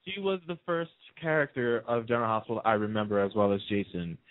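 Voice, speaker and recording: A man's voice: fairly low pitch, very animated, fast, dry recording. A man chatting casually as a guest in a radio interview, telling stories in a talkative way. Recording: narrow, tinny phone-line sound.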